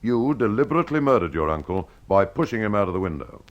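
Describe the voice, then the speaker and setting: A man plays a grim police inspector from a detective tale. The voice sits low, and the manner is stern and accusing. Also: sing-song, fast talker, lo-fi sound. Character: grim male Scotland Yard inspector, accusing.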